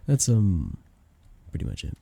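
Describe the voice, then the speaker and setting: A young man acting a flustered best friend with a hidden crush in an intimate ASMR romance roleplay, soft-spoken, casual and hesitant. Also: low-pitched, wildly swooping pitch, unhurried, vocal fry, heavily acted. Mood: awkward, evasive.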